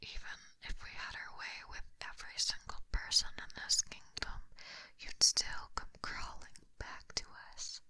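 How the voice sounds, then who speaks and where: Woman playing a slow, whispery lamia monster girl. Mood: whispered taunting. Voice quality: breathy, whispery. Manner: slow, smug, softly menacing. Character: possessive, smugly teasing female lamia snake monster captor. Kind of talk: intimate ASMR roleplay. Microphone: close binaural microphone.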